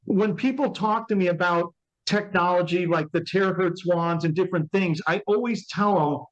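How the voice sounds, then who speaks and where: A man's voice: high-pitched, moderate pace. A man in his fifties interviewing conversationally over a call, emphatic and motivational, repeating his points for effect. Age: 50s. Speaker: interviewer and wellness product promoter. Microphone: remote call. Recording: dull, muffled call audio.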